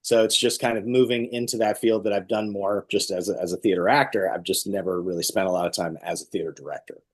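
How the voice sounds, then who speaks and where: A man talking casually as an interview guest, in a low-key, somewhat hesitant way. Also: steady tone, fast. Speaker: guest, actor and director.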